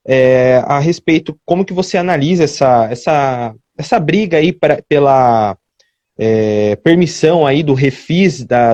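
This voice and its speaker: A man's voice: fairly high pitch, darkish tone, dry recording, muffled recording. A man with a Brazilian Portuguese accent interviewing a politician, asking long questions with hesitations. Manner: hesitant, many fillers and restarts.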